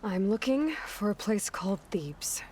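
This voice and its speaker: A young video game heroine, determined and guarded. Her mid-pitched voice is direct, plain-spoken and self-assured, with faint ambient noise behind it. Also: very animated, unhurried, darkish tone. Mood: guarded, earnest. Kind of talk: video game cutscene acting.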